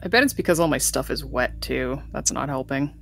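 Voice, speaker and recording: A woman's voice: very deep voice, brisk, creaky, fairly bright tone, slight background noise. A woman chatting casually as a player in a tabletop roleplaying game. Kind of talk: casual chat in play.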